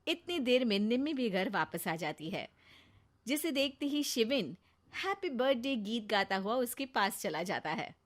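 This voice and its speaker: A woman narrating a children's cartoon story in a lively, expressive way. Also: on the high side, moderate pace.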